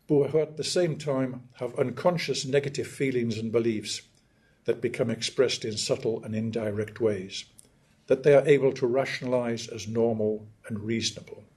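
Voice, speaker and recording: An older man from northeast England, giving a measured, emphatic academic lecture and often clearing his throat. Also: medium pitch, a little mellow. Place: lecture hall.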